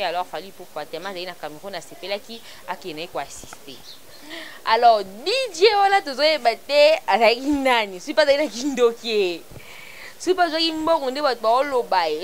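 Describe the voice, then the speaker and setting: A woman's voice: on the high side, slight background noise. A woman from Congo, hosting an online celebrity news show in a chatty, casual commentary style. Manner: chatty, mixing French and Lingala freely.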